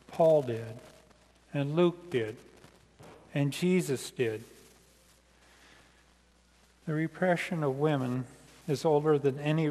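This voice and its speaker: An older man with an American accent preaching a sermon in measured, deliberate phrases as a pastor. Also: medium pitch, darkish tone. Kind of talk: sermon read from notes. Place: large church sanctuary, indoors, seated at the front. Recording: reverberant church hall sound.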